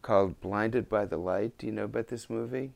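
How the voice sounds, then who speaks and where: A man's voice: fairly low pitch, brisk, vocal fry, darkish tone, a little hiss or hum. A man interviewing in a conversational way, with a wry, teasing manner.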